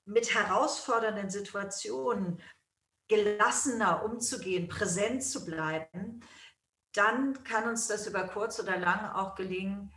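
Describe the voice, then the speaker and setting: A woman being interviewed as a guest, answering in a calm, measured, reflective way. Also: mid-range voice. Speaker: guest, yoga school founder. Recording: roomy and distant from the mic.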